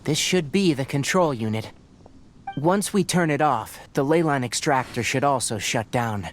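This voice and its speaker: A man playing a calm, scholarly young male forest ranger in a video game, explaining things in a measured way. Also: medium pitch, slight background noise, close to an everyday voice. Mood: calm, focused.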